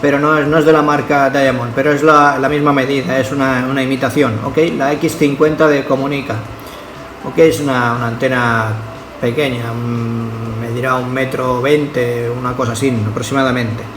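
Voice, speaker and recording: A man's voice: medium pitch, little pitch movement, normal speed. A man making a casual ham radio contact, hesitating and often checking that he is understood. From Catalonia, Spain. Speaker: amateur radio operator. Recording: roomy echo with faint noise.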